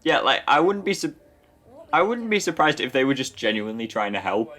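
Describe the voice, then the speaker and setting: A man's voice: mid-range voice, very animated, fairly quick, sharp tone. A man co-hosting a film commentary in a casual, joking chat.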